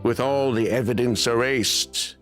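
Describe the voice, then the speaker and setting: A man playing a defensive, bitter schemer in a dramatic video game scene, with a gravelly voice. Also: medium pitch, steady tone, measured pace, fairly bright tone, soft background music, lightly acted. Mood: explaining grimly. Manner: defiant, dramatic. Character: defensive bitter male schemer, self-confessed mastermind.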